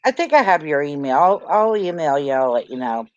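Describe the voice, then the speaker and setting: A woman's voice: low voice, very animated, brisk, no room sound. Agreeable woman host on lo-fi audio. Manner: agreeable, laughs between remarks. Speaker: livestream host. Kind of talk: casual livestream chat.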